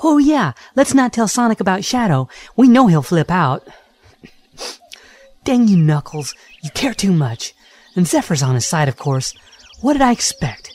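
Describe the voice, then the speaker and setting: Radio-drama monologue: soft-hearted, fed-up animal ally, played by an actor, sarcastic, very animated, mid-pitched. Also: measured pace. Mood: exasperated.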